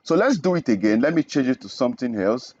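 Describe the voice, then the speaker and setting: A man's voice: mid-range voice, big pitch swings, fast, darkish tone, slightly rough, dull audio. A young man from Nigeria teaching programming in a screen-recorded tutorial, explaining each step and checking in with "okay?". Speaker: programming instructor.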